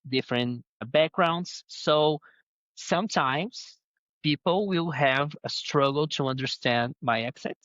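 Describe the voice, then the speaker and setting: Slow, halting man with an accent. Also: fairly high pitch, fairly bright tone, no echo, lo-fi sound. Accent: Spanish-speaking. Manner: halting, many fillers and restarts. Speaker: guest, head of design. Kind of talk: interview answers.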